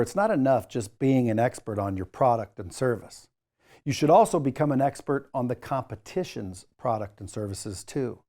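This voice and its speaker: Mellow-voiced male sales trainer, emphatic. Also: mid-range voice. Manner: emphatic, jokey asides, coaching tone. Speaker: sales trainer, course instructor. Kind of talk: instructional presentation to camera, direct address.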